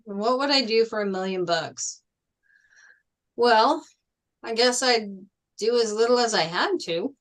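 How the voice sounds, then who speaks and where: A woman's voice: on the high side, expressive, a little slow, long pauses. A woman reading an earnest, probing teenage jock boy in a casual, questioning way at a table read. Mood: puzzled, playful. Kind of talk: table read of a film script.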